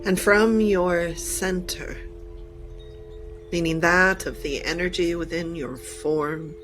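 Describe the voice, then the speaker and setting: A woman leading a channeled guided meditation in deliberate, halting short phrases with formal wording. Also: lowish voice, fairly bright tone, faint music behind.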